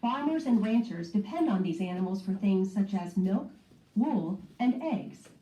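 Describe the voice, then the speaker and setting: A woman's voice: mid-range voice, lively intonation, a little slow, dark tone. A woman narrator carefully reading a scripted educational text for children. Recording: muffled playback in echoey room, distant.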